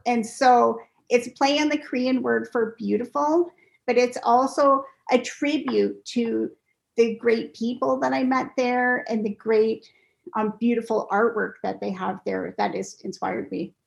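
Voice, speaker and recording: A woman speaks as a podcast guest, her fairly high voice carrying a steady gravelly fry. She explains things patiently, one step at a time, in a relaxed conversational way. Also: unhurried. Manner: explains in steps, patient and clear. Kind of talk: casual interview talk.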